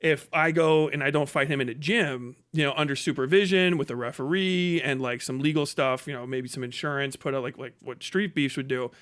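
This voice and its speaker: A man's voice: mid-range voice, brisk, few pauses, a bit bright. A man ranting and telling stories casually on a live stream, blunt and swearing freely. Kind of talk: casual, profane ranting and storytelling.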